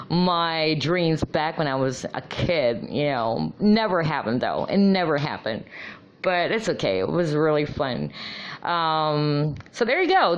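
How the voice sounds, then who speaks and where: A woman whose first language is Tagalog, talking casually with lots of ums and restarts; her low voice often gets her taken for a man. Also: low-quality recording. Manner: chatty, many ums and restarts. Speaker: vlogger. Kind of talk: casual monologue.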